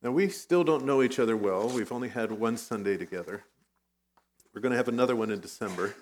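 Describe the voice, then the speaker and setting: A middle-aged man who grew up in Vietnam, a guest pastor giving a conversational sermon with personal stories, now and then clearing his throat. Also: medium pitch, a little fast, a little mellow, rough recording. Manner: conversational, self-deprecating storytelling.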